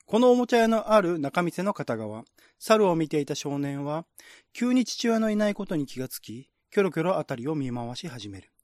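A man's voice: a little high, sing-song, normal speed, darkish tone, no echo, studio quality. A man who works as a radio drama host and narrator, presenting and reading scripts.

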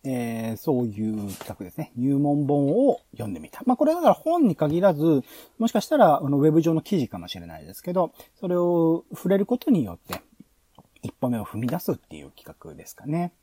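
A male podcast host talks through plans casually. His voice is mellow and a little husky, and the pitch moves around a lot. He hesitates often, drawing out filler vowels and rephrasing mid-thought. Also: sing-song, dry recording. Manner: halting, with drawn-out filler vowels and self-corrections. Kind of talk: casual planning talk, explaining proposals.

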